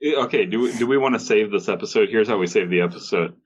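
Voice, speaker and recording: A man with a lowish voice co-hosts a comedy podcast. He reads stories aloud with goofy mockery, and the recording sounds a little dull and lo-fi. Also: fast, husky. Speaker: podcast co-host. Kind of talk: comedic read-aloud of online stories with banter.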